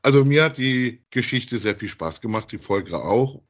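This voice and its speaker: A middle-aged man co-hosting a podcast in a casual review chat about audio dramas, with a husky voice. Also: mid-range voice, expressive, normal speed. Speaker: podcast co-host.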